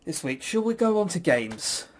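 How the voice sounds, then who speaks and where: A British man with a slightly high voice chats casually as a podcast host. He rambles and stammers through false starts, giving blunt opinions with some swearing. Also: big pitch swings, moderate pace.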